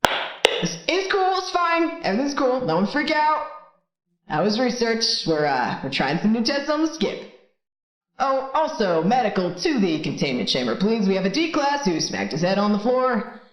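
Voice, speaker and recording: In a roomy echo, a woman plays a flustered scientist feigning calm in an audio drama. The delivery is hesitant and blurting, with pitch that jumps around. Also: moderate pace. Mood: flustered, sheepish. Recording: roomy, echoing sound.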